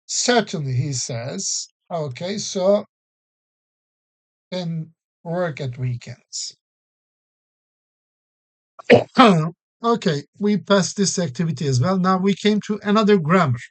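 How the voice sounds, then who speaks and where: Male teacher, slow and steady, explains English grammar patiently with plenty of examples. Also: high voice. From Turkey. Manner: patient explanations with many everyday examples and frequent fillers. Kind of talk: recorded classroom-style English lesson.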